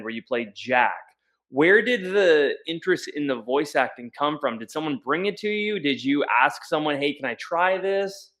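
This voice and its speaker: A man with a voice of middling pitch hosts a casual interview. He reacts to his guest encouragingly and sets up his questions at some length. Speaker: podcast host.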